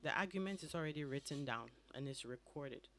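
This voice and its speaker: A woman with a Ghanaian accent, an immigration attorney answering questions calmly and methodically as a radio studio guest. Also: low voice. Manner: measured, explanatory.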